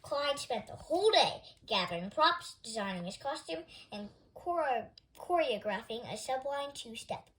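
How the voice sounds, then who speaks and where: A woman reading a children's picture book aloud as expressive storytime narration. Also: high-pitched, a little slow, hardly any pauses, rough recording. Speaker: storytime reader.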